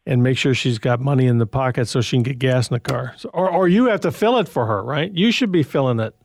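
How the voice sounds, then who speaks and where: A man's voice: medium pitch, quick pace, creaky, no room sound, studio quality. A man hosting a call-in advice show on the radio, talking in a loose, conversational way and chuckling often. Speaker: radio host.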